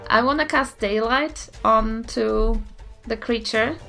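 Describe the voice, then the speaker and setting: A woman's voice: on the high side, slow pace, gravelly, pushed and bright. A woman playing a tabletop role-playing game, chatting casually and thinking aloud in a hesitant way. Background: faint background music.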